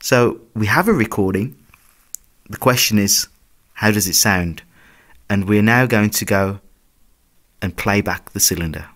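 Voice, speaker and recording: A man's voice: fairly low pitch, measured pace, long pauses, a bit bright. Man explaining a demonstration. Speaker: presenter demonstrating antique recording. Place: hallway of a house, indoors.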